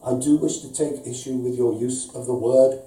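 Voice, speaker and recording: A man's voice: mid-range voice, steady tone, moderate pace, dark tone, natural voice. A man voicing a pedantic, pompous, aggrieved customer reading out a formal, wordy letter of complaint as a live comic story. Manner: formal, wordy, precise, mock-serious. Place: indoor arts venue reading, before an audience. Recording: roomy, distant live event recording.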